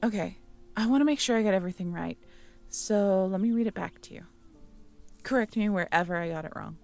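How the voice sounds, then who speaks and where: A woman acting a caring, teasing, curious young girlfriend in an intimate roleplay audio drama, talking softly and conversationally to the listener. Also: medium pitch, a little fast, gravelly, soft background music, natural voice. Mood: playful teasing. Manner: soft, intimate, conversational, playful.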